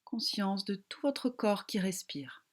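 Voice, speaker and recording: A woman yoga teacher guiding a relaxation meditation in a calm, gentle, soothing manner with long pauses. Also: mid-range voice.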